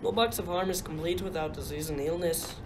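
A woman's voice: fairly low pitch, steady tone, brisk, background noise, natural voice. A woman playing earnest male gods in a radio play. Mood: proclaiming. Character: earnest, pleading male god.

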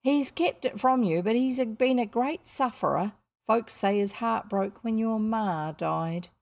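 A woman's voice: a little high, a little slow, vocal fry, darkish tone. A woman voicing a blunt, scolding working-class housekeeper in a storybook, with unrefined rustic speech and dropped letters. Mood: grimly frank.

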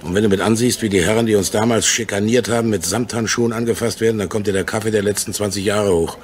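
A middle-aged salesman played by a male actor in a radio crime drama. His voice sits fairly low, and he talks in a forward, jovial, slightly crude way, like an old soldier sure of himself. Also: steady tone, fast, natural voice. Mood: bitter, sardonic. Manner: chatty, forward, crude-tongued. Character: brash, jovial middle-aged canned-meat salesman and war veteran.

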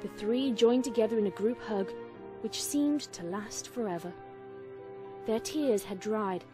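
A woman reading fan fiction aloud as an expressive storyteller. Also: a little high. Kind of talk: dramatic scripted narration.

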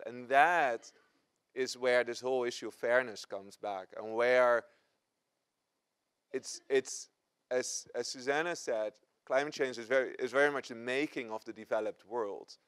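A man, answering on a conference panel with lively gestures and frequent restarts. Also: mid-range voice, smooth. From Netherlands. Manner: animated, gesturing, many restarts. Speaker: panel scientist. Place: conference stage, seated in armchair. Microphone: lapel.

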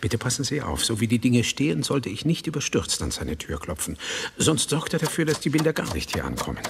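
A man's voice: medium pitch, very animated, brisk, gravelly, slight background noise. An actor playing a determined, courteous male outsider in a radio drama. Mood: insistent.

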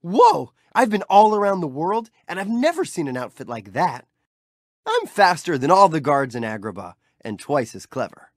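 A cheerful young street-thief hero from a video game, chatty and playful as he cheers the player on. His voice swoops widely up and down with bright youthful bounce. It is recorded dry and close on a studio mic with no room sound. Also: fairly high pitch, sing-song, normal speed, dry recording. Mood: upbeat. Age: young adult. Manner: friendly, playful, upbeat and encouraging. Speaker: game character.

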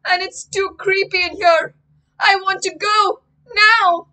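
A woman's voice: extremely high pitch, fairly even intonation, slow, pushed and bright, distant mic, pitched above the performer's own voice, heavily acted, low-quality recording. A woman voicing a frightened, impatient young girl in a storybook, whiny and pleading. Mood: scared.

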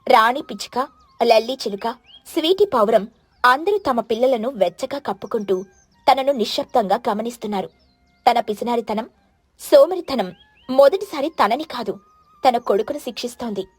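Slightly husky woman narrating children's stories. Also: fairly high pitch, animated, moderate pace, long pauses, no room sound. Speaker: storyteller. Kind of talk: scripted children's story narration.

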